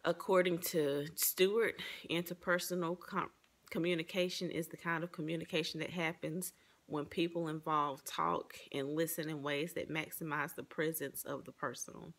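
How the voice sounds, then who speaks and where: A woman's voice: low voice, steady tone, moderate pace, a bit bright. A woman giving an earnest, explanatory personal presentation, partly reading from notes.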